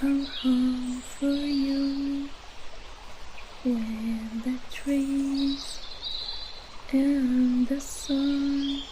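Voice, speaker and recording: High female voice, very slow, birdsong behind. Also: flat delivery, background noise. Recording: clear outdoor ambience with birdsong. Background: birds chirping, nature ambience.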